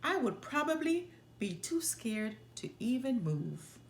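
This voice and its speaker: A woman's voice: a little high, very animated, slow pace, slight background noise, voice pushed up, exaggerated voice. A woman voicing a small, earnest, outspoken girl bear cub in a children's storybook. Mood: unsure.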